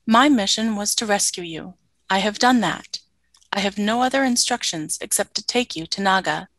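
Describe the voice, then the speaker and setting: A woman's voice: mid-range voice, normal speed, fairly bright tone, no echo, lightly acted. Woman as dry-sounding, formal alien soldier woman. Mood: flat, dutiful. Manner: formal, clipped, literal. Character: stern, obedient female alien soldier, calm and literal. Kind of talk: radio play acting.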